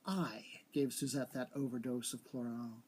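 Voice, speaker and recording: Man reading as an eerily calm young woman, husky. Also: mid-range voice, voice pushed down, natural voice. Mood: calm, bitter confession. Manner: even, authoritative, deliberate. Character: slim dark young woman, calm, eerily confessing a killing. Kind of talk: storybook character reading.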